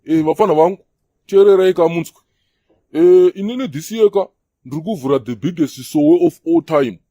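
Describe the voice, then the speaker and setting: A man's voice: fairly high pitch, normal speed, mellow, no echo, lightly acted. A man voicing a preachy older Zimbabwean prophet in a comedy cartoon, holding forth to the younger men like an elder. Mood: grandiose. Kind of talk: comedy cartoon dialogue in Shona mixed with English.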